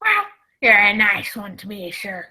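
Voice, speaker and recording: A woman's voice: medium pitch, very animated, measured pace, sharp tone, heavily acted. A woman playing a sly old male pirate leader in a radio play, mock-courteous and folksy. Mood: wry, resigned.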